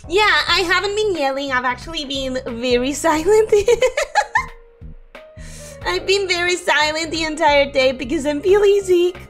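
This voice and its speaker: A young woman streaming casually, her voice hoarse and ragged from sickness, giggling often as she reads chat and greets viewers. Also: sits high, animated, moderate pace, soft background music.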